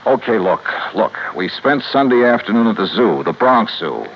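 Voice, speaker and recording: Low-voiced, expressive hard-boiled investigator. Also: male voice, normal speed, a little hiss or hum. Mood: earnest pleading. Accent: American. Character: hard-boiled male insurance investigator, grieving and hot-tempered. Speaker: lead actor and narrator. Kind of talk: 1950s American radio detective drama acting. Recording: dull vintage radio recording with music.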